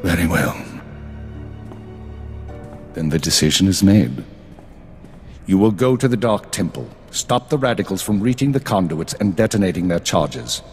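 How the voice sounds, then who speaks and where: Low-voiced, stern spymaster. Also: male voice, lively intonation. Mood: grave, resolute. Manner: authoritative, formal, brisk. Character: stern male imperial intelligence chief, clipped and authoritative. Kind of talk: video game cutscene acting. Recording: reverberant hall, faint music underneath.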